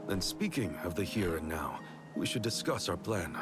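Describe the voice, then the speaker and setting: An actor voicing a calm, practical party member in a video game, speaking in a measured way. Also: male voice, sits low. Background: game music.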